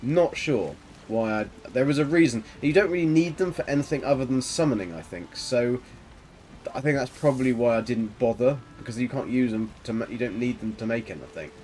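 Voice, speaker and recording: A man's voice: medium pitch, lively intonation, a little fast, a little hiss or hum. A man, chatting casually to viewers while he plays and mutters to himself. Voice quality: soft voice. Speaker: game streamer. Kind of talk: relaxed live gameplay commentary.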